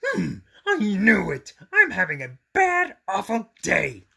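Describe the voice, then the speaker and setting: A high-pitched little storybook monster boy, plaintive and whiny. The voice swings wildly up and down, with a bright, creaky edge. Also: wildly swooping pitch, slow pace, full character voice. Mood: exasperated, whiny. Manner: plaintive, exaggerated complaining.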